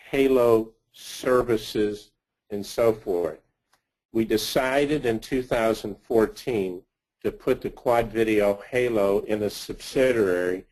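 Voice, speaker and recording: A man giving a slide presentation of financial results as a company executive, speaking haltingly in short phrases. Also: on the low side, darkish tone, low-quality recording.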